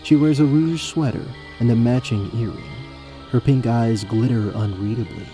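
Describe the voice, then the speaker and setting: A man's voice: mid-range voice, normal speed, mellow, soft background music. A man delivering scripted narration for a fantasy audio drama as its storyteller.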